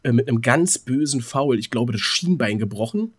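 A man with a mid-pitched, husky voice, chatting as a podcast co-host. His talk is loose and colloquial, and he often repeats words while thinking out loud. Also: expressive, normal speed. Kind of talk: casual podcast chat.

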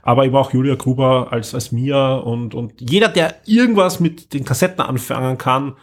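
A man's voice: medium pitch, moderate pace. An Austrian-accented man hosting a podcast, chatting casually and emphatically as he reviews, often repeating words. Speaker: podcast host.

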